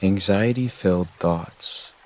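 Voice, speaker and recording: Slow, low-voiced man guiding meditation. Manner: gentle, measured, soothing instruction. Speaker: meditation guide. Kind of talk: guided meditation narration.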